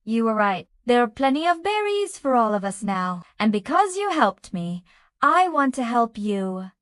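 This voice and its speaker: A woman voicing a small, gentle female robin in a children's storybook, chirpy and earnest. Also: on the high side, sing-song, a little slow, no echo, studio quality. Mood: grateful.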